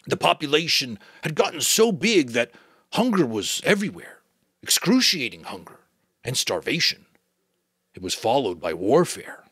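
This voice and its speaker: A man voicing a wise old male keeper of memories who speaks slowly and gravely. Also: fairly high pitch, fairly bright tone, dry recording, clean studio sound, voice pushed up, full character voice. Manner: slow, measured.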